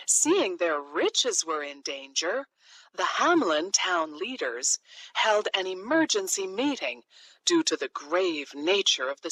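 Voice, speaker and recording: A woman narrating a children's fairy tale from a script, in an expressive, deliberate storytelling manner. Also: mid-range voice, dry recording, rough recording.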